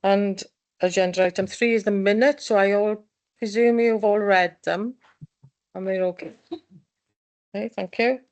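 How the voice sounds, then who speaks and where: A woman chairs a formal panel in a council meeting room. She moves briskly from one agenda item to the next. Her pitch sits in the middle of the range. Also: steady tone, darkish tone. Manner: brisk, runs through agenda items.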